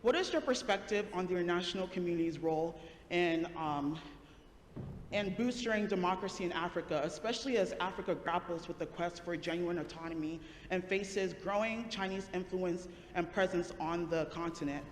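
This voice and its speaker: A young woman student from Ghana asks a polite question from the audience, talking quickly and a little nervously. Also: lowish voice. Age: young adult. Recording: echoey hall with faint noise.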